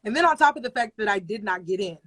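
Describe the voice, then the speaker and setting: A woman's voice: medium pitch, lively intonation, fast, fairly bright tone. Emphatic young woman telling her story. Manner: emphatic, storytelling, repeats phrases for effect. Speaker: radio personality guest. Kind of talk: casual interview conversation.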